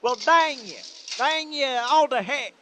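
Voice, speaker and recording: A good-hearted country bumpkin in radio comedy. His high voice has a Southern US accent, full of folksy bluster and stammering, over faint noise. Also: measured pace, pushed and bright, exaggerated voice. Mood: indignant. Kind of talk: radio comedy drama acting.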